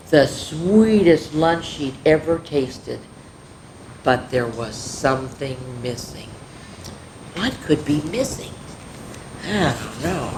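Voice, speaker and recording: A woman storyteller reading a picture book aloud to children, with lively, chatty asides to the kids. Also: deep voice, slight background noise. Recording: roomy echo.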